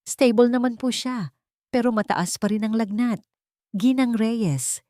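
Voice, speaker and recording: A woman voicing an earnest male doctor in a story, serious, measured and formal. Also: on the high side, mellow, no room sound, studio quality, pitched above the performer's own voice, close to an everyday voice.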